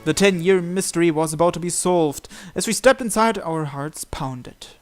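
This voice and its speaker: A man with a fairly high voice reads visual novel narration aloud as a let's play host. He sometimes stumbles or steps out to comment casually, while music plays behind him. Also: expressive, moderate pace. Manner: stumbles and breaks off to comment on the text. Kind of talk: reading story narration aloud with casual asides.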